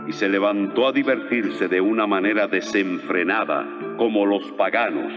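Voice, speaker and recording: A man's voice: lowish voice, moderate pace, over music. A man playing the grave, declamatory voice of quoted scripture in a dramatized audio Bible, with a long reverb effect. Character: solemn male voice of quoted holy scripture. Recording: dull band, long reverberant hall.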